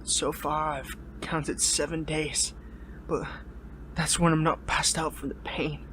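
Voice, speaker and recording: A wounded young hero held captive , acted by a man in an audio drama. His voice sits a little high for a male, strained and weary, with sardonic defiance and halting, stammered phrasing. Clear noise runs behind the recording. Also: little pitch movement, a bit bright, low-quality recording. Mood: weary, pained. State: pained, weary. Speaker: voice actor in audio roleplay. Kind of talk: dramatic audio roleplay, one-sided dialogue.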